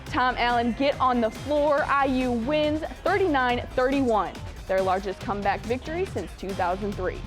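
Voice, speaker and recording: Young female sportscaster, high voice, scripted highlight reads, light music underneath. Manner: punchy, excited calls on big plays, wry asides. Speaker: sports anchor.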